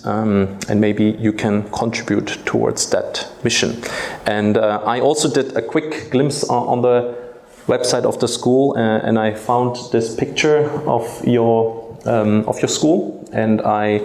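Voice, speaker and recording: A man in his forties, born and raised in Germany with German as his first language, speaks to students from a school stage. His voice sits low and gravelly, and he often stops on filler sounds. The room around him rings with echo. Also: darkish tone. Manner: hesitant, many filler sounds. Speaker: guest speaker. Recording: echoey hall.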